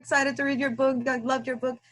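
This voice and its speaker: A woman from Guatemala, English not her first language, chatting over a video call in a halting way, with many fillers and restarts. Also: high voice, level tone, a bit bright. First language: Spanish. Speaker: guest reader. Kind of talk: casual video call chat.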